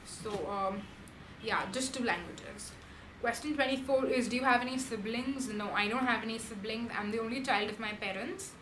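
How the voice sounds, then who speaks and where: A woman's voice: a little high, normal speed, a bit bright. A young woman with an Indian English accent, chatting casually as a vlogger answering questions, with frequent ums and likes. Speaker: beauty vlogger. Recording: echoey room with background noise.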